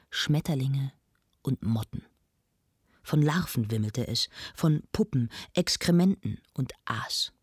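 An actress playing an earnest arts presenter, narrating a scripted mock documentary in a measured, formal, literary manner. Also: female voice, very deep voice, breathy edge, dry recording, clean studio sound, full character voice.

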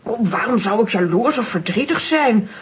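Prim, theatrical middle-pitched aunt. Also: female voice, expressive, moderate pace, husky. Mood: wounded dignity. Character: Tante Sidonia, prim melodramatic grown-up aunt. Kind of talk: children's audio drama acting.

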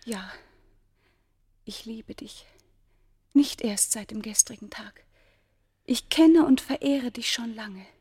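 A woman acting a governor's noble daughter of ancient Egypt, passionately in love, with formal, breathy, poetic delivery in a German radio play. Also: fairly high pitch, slow, long pauses, a little mellow, exaggerated voice. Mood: passionate, mournful.

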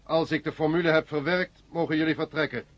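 An actor in a 1970 radio drama playing a cold, commanding alien machine brain, curt and imperious. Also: male voice, a little high, steady tone, fast talker, natural voice. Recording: muffled old radio recording.

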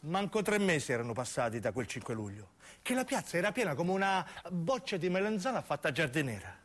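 An animated male stage narrator with a southern Italian accent. His voice sits fairly high and swings widely in pitch as he tells a story dramatically, moving between intimate narration and acted dialogue. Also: a little fast. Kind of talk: theatrical radio monologue storytelling.

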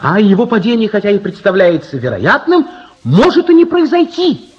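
An actor playing a polite, earnest young gentleman, explaining things apologetically in a radio play. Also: sits high, sing-song, moderate pace, highs cut off. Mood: earnest, explanatory.

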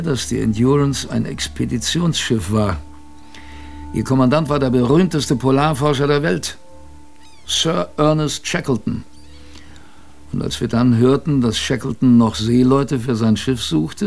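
An actor playing a gruff but fond old sailor grandfather, telling his memories in a salty, colloquial radio-play manner. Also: mid-range voice, darkish tone. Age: older. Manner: colloquial, salty storytelling with musing pauses. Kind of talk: radio drama first-person narration.

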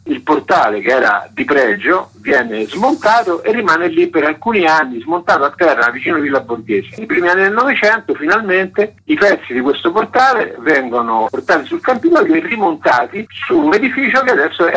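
A man's voice: fairly high pitch, sharp tone, husky. A man, an author being interviewed on radio, explaining things with enthusiasm and emphasis over a tinny link. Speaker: interviewed author and researcher of Rome's monuments.

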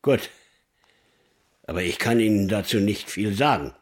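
An actor playing a stern, suspicious master butcher in a radio play, curt and insinuating. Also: male voice, fairly low pitch, measured pace, noisy background, natural voice. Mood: guarded. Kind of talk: German radio play acting.

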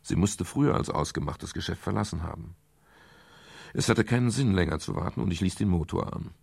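An actor playing an urbane, wry private detective in a radio crime play, courteous and given to ornate, ironic digressions. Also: male voice, deep voice, a little fast, creaky, a little mellow, husky, no echo, exaggerated voice. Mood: matter-of-fact. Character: urbane, wry British private detective narrating his case. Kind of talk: scripted 1970s radio crime drama narration.

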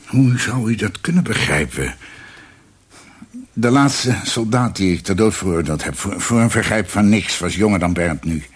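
An actor playing a guilt-ridden older former judge in a radio drama, husky, halting and formal, a proud and guarded father. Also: on the low side, lively intonation. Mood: remorseful.

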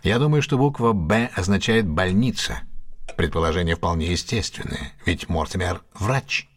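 Male detective, audio drama: low, rough-edged, very expressive. Keen, didactic, faintly superior. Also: normal speed. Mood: teasing, assured. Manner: didactic, theatrical, reasoning step by step. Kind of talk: Russian audio drama acting.

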